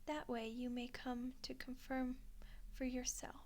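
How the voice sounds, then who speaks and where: A woman's voice: high voice, little pitch movement, unhurried, a little mellow, a little hiss or hum. A woman voicing a gracious, teasing centuries-old vampire queen in an ASMR roleplay, with courtly, coaxing phrasing. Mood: amused, inviting. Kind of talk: ASMR roleplay monologue addressed to the listener.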